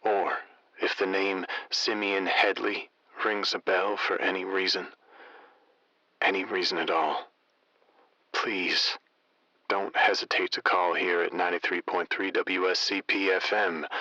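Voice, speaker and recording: A theatrical male late-night radio host played by an actor in an audio drama, with a very low, sharply forceful voice. He spins conspiracy lore slowly and deliberately, with wry, florid wording. The sound is dry and slightly dulled. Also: dry recording, dull audio, exaggerated voice. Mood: solemn appeal.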